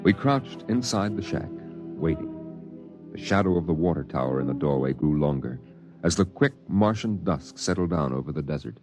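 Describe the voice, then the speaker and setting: A man narrating a 1950s radio drama as a grown man recalling his youth, in a measured, reflective way. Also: a bass voice, expressive, a little mellow, soft background music. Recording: dull, muffled old radio broadcast.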